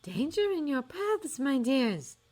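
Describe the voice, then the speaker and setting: A woman voicing a dramatic, eccentric older female seer with a slow, breathy, mystical delivery. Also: sits high, big pitch swings, a little mellow, pitched above the performer's own voice, exaggerated voice.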